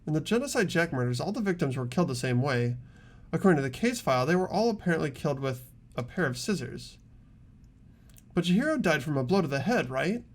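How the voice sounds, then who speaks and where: An earnest teenage boy detective in a video game, played by a man. His voice sits fairly high and moves with lively pitch as he politely and deliberately explains his reasoning. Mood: reasoning, firm. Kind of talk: amateur game voice acting.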